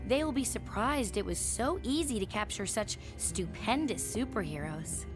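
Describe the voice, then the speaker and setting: A woman's voice: mid-range voice, very animated, moderate pace, over music, lightly acted. A woman voicing a sweet young girl in a video game, speaking with stilted politeness. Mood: polite, stilted. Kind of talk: video game cutscene acting.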